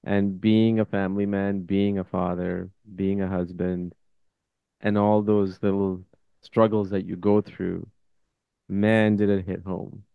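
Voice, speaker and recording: A man's voice: deep voice, level tone, measured pace, creaky, clear, resonant tone, no room sound, narrow, tinny audio. A man hosting a podcast, chatting casually in an informal, effusive, loosely phrased way. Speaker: podcast host. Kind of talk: casual interview chat.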